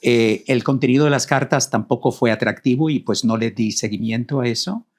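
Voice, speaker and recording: A middle-aged man from Rico, a guest telling his life story in a reflective, measured way. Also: medium pitch. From Puerto Rico. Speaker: guest, co-author. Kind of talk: personal storytelling in conversation.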